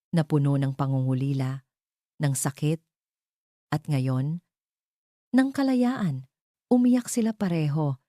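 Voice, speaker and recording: A woman's voice: low-pitched, mellow, dry recording, studio quality. A woman narrating a scripted romance story in a dramatic, sentimental way.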